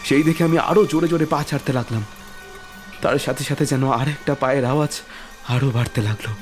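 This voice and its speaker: An actor playing a frightened young man, stammering and breathless as he recounts being chased in the dark. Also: medium pitch, a little fast, faint music behind, natural voice. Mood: fearful retelling. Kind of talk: Bengali audio drama acting.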